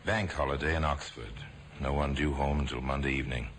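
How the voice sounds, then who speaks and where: A man narrating a radio drama in a measured, ominous way. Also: very deep voice, steady tone, faint music behind. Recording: hissy old radio broadcast.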